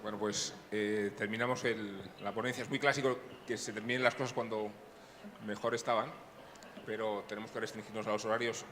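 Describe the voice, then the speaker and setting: A man closes a public panel as moderator, talking quickly and hesitating often. His voice sits a little low and echoes through a large hall, over a clear background noise. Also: little pitch movement, a bit bright. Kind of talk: closing a panel.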